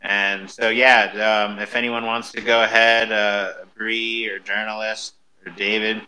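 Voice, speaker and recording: A man's voice: lowish voice, flat delivery, a little slow, sharp tone, rough recording. A man hosting a casual panel talk, informal and enthusiastic, with slangy promotional patter. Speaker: host of an audio panel, music performer.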